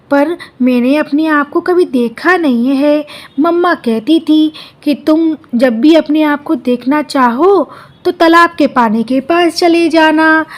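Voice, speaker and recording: A woman voicing a naive, aggrieved young male ostrich in a cartoon story. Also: high voice. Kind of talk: Hindi cartoon story dialogue.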